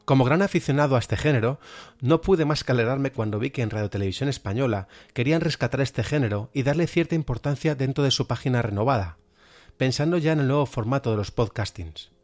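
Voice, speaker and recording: A man's voice: medium pitch, fast talker, darkish tone. A man with an accent from Spain presents an enthusiastic, semi-scripted podcast review of radio drama. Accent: Spanish, from Spain. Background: background music.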